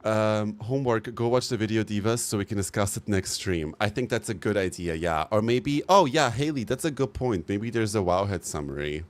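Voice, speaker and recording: A man's voice: on the low side, lively intonation, normal speed, hardly any pauses. A man streaming live, reacting bluntly to game news and giving opinionated takes. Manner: swears casually. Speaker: livestreamer. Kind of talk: casual live-stream commentary.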